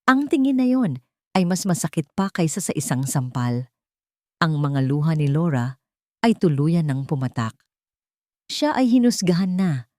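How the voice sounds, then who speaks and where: Brief: woman narrator for an audio drama, low, dramatic, close-miked. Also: sing-song, a little mellow, no room sound. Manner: measured, dramatic storytelling.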